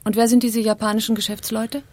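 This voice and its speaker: An actress playing a cool, observant female partner of an arms dealer, narrating a radio drama in a measured, descriptive way. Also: on the high side, little pitch movement, a little mellow, close to an everyday voice. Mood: questioning. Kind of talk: literary first-person narration and dialogue in radio drama.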